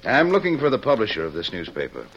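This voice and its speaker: A man's voice: lowish voice, wildly swooping pitch, fast talker, fairly bright tone, exaggerated voice. A man with an American accent playing a polite, formal businessman in an old radio drama, on a muffled, noisy recording. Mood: courteous, inquiring. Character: courteous, formal, well-dressed male businessman visitor.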